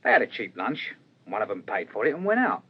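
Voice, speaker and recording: A chatty, baffled working-class waiter in a radio play. His husky voice leaps up and down as he spins his colloquial tale, on a dull recording. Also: on the low side, quick pace, full character voice. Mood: animated, baffled. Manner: colloquial, animated storytelling. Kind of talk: radio crime drama acting.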